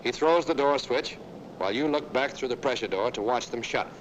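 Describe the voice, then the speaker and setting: A man giving scripted instructional narration for a military training film, talking to the viewer directly as "you" in a brisk way. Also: mid-range voice, lo-fi sound, a little hiss or hum.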